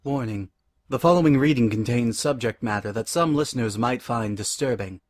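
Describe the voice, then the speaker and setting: A man's voice: medium pitch, moderate pace, no room sound, clean studio sound. A man reading a formal scripted disclaimer as an announcer.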